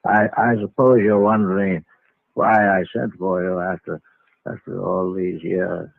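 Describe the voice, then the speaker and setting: A dying old man, low and gravelly, halting and full of regret. His words come slowly and falter, on an old narrow-band radio drama recording. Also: little pitch movement, no echo, exaggerated voice. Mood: regretful, frail. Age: older. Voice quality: frail, husky voice. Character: weak, dying, remorseful old grandfather. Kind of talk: vintage radio drama acting. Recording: muffled, tinny vintage radio recording.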